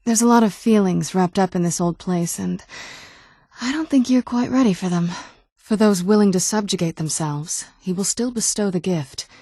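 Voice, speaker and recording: A woman's voice: medium pitch, moderate pace, warm tone, no echo, natural voice. A woman playing a guarded, wry young vampire noblewoman companion in a video game, speaking in a dry, sardonic, conversational way. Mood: earnest, guarded. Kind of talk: video game character dialogue.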